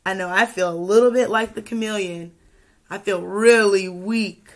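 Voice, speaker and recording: A young woman teacher reading a picture book aloud to children, expressively, pausing to ask questions and add asides. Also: mid-range voice, steady tone. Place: small indoor room with classroom wall charts.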